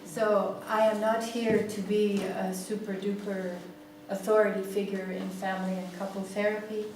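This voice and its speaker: A woman's voice: mid-range voice, flat delivery, moderate pace. A woman in her sixties, a native Greek speaker with a Greek accent, leading a family therapy seminar in a hall. She lectures with many "um"s and checks in with "yeah?". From Greece. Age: 60s. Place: seminar room. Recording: very echoey room, far from mic, noisy.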